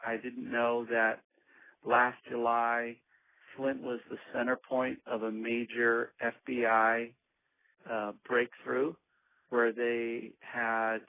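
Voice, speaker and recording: A man speaking earnestly on a conference call, measured and pausing often with ums as he lays out plans for his organization. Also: medium pitch. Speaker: organization leader. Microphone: phone. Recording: tinny phone line.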